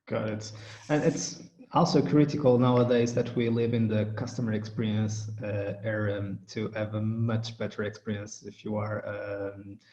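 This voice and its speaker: A man's voice: lowish voice, level tone, moderate pace, dark tone. Portuguese man hosting a business podcast. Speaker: podcast host. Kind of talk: business interview. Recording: dull roomy sound.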